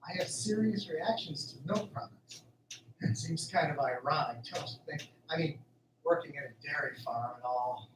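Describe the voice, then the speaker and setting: Man acting an earnest farmhand, highish voice. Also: moderate pace, natural voice. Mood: nervous politeness. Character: earnest, polite, uneasy young male farm worker. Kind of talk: radio drama acting. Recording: roomy, distant, faint noise.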